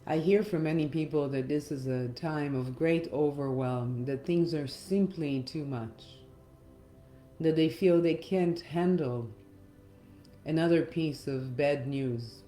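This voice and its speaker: A middle-aged woman gives a reflective guided meditation talk in a gentle, measured manner with pauses. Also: deep voice. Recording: roomy echo, faint music underneath. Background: faint music.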